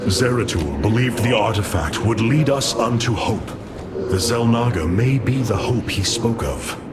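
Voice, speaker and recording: Noble alien leader, stately and solemn. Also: male voice, on the low side, expressive, loud music behind the voice. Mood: awed, hopeful. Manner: formal, measured, stately. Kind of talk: dramatic video game voice acting.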